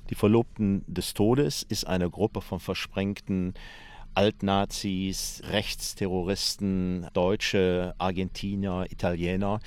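A man's voice: sits low, level tone, takes it slowly, smooth. A man explaining events factually, as an expert commentator in a radio documentary.

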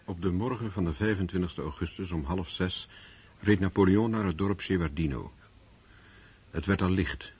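A man acting as the sober narrator of a historical war story in a radio play, measured and reflective. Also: deep voice, darkish tone, husky. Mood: calm, scene-setting.

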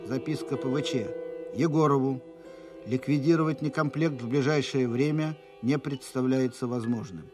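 An actor playing the formal, official voice of urgent military dispatches in a radio play. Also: male voice, medium pitch, steady tone, moderate pace, over music, lightly acted. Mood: official, stern. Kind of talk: dramatic document reading for radio play.

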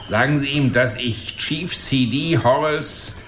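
Cranky old braggart, roomy sound. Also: male voice, mid-range voice, slow, fairly bright tone, slight background noise. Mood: defiant, snide. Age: older. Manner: wisecracking, belligerent, long-winded. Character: cranky, cocky old father, a boastful refrigerator mechanic. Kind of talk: German radio drama acting.

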